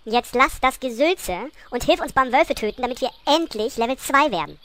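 A woman's voice: sits high, animated, moderate pace, fairly bright tone, dry recording. A woman voicing an exasperated, imperious gnome queen with a snappy, sarcastic manner in an amateur parody audio play. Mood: irritated. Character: bossy, exasperated female pink gnome queen.

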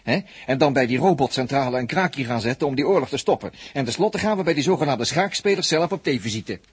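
An actor playing a wry, chatty crewman in a 1960s radio drama, full of droll asides, with a husky voice. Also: mid-range voice, animated, fast talker, no echo. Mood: mock grand. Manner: droll asides, tutting exclamations, folksy hesitant phrasing. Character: wry, chatty male crewman watching and commenting.

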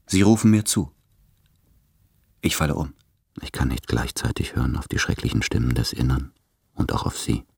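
An actor playing an anguished, self-doubting man in his late thirties, speaking in measured, introspective literary monologue in a radio drama. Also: extremely low pitch, very animated, gravelly, darkish tone, husky, full character voice.

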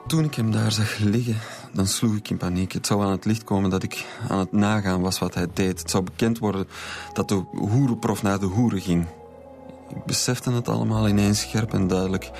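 Male actor as troubled student son under questioning: Flemish, deep creaky voice, hesitant, defensive, radio drama. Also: fairly even intonation, normal speed, a little mellow, faint music behind, natural voice. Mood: confessing, anxious. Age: young adult. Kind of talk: naturalistic radio drama dialogue.

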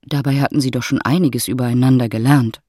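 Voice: mid-range voice, steady tone, quick pace, darkish tone, husky, no room sound, clean studio sound. A narrator reading scripted literary prose for an audio drama. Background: music.

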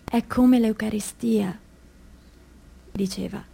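A woman's voice: mid-range voice, steady tone, slow pace, vocal fry, warm tone, husky, full character voice. An actress playing an anguished mother who gives an intense, confessional testimony of past abuse in a dramatic stage monologue. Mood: subdued, pained. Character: anguished mother recounting abuse by a priest in girlhood.

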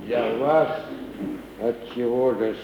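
An actor playing an old manservant, deferential yet grumbling, in a folksy 1950s Russian radio drama style. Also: male voice, medium pitch, steady tone, slow, natural voice. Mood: deferential, hesitant. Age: older. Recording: dull old radio recording, roomy, distant, noisy.

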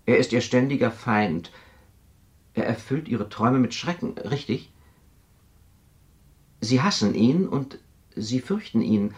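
Probing, insistent telepath adviser. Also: male voice, mid-range voice, animated. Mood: probing, cool. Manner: deliberate, probing. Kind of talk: 1970s radio drama acting.

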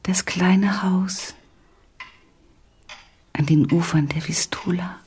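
Fragile, haunted wife. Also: female voice, on the low side, fairly even intonation, slow, darkish tone, slight background noise. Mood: whispered longing. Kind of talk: German radio play acting.

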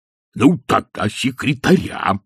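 A self-important middle-aged official, as a male narrator voices him, gruff and grumbling in a low, slightly husky voice. It sounds close and dry. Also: animated, slow, no room sound, heavily acted.

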